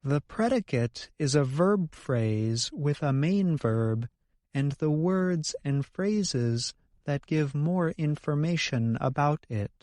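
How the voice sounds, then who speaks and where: A man's voice: a little high, lively intonation, slow pace, a little mellow, no echo, studio quality. A man co-hosting an educational podcast, reading scripted explanations in a careful, explanatory manner. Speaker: co-host of an English lesson podcast.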